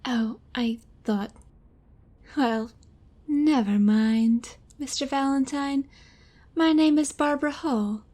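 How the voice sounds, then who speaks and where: A mellow, high female voice an actress in role as a mysterious rich widow in a noir audio drama. She is cool and poised, taking her words very slowly. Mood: cool, sultry. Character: cool, mysterious, wealthy femme fatale widow.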